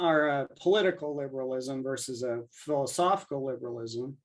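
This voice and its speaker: A man's voice: mid-range voice, rough recording. A man speaking as a podcast guest, thinking aloud in a conversational, discursive way and voicing imagined exchanges to make his arguments. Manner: discursive, argues through imagined dialogue.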